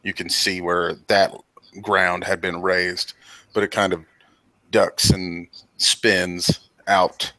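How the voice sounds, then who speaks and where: A man with a low voice and a bright, forceful tone narrates a tabletop game as its game master. He lays out the terrain and objects steadily and plainly. The sound is close and dry, with no room around it. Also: little pitch movement, no room sound, low-quality recording. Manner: plain, measured scene description. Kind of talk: describing scenes in a tabletop game.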